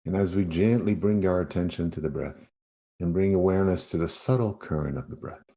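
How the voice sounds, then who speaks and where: A man leading a guided meditation as a yoga nidra teacher, speaking in an unhurried way with spacious pauses. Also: low voice, warm tone.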